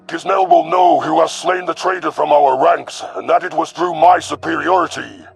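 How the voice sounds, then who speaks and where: A man voicing a cruel, arrogant young alien predator commander in an audio story, with a growling, gravelly voice and haughty, formal menace. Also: fairly high pitch, normal speed, over music, pitched above the performer's own voice. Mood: cold menace.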